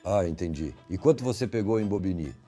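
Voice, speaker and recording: A man with a low, mellow voice hosting an interview. He is conversational and inquisitive, putting questions to his guest and interjecting short reactions. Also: expressive, brisk, breathy edge, faint music behind. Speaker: interviewer, host.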